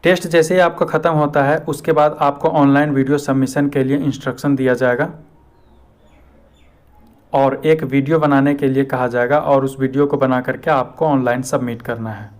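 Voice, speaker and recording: A man's voice: medium pitch, steady tone, normal speed. A man with an Indian accent giving informal, step-by-step instructions as a video host.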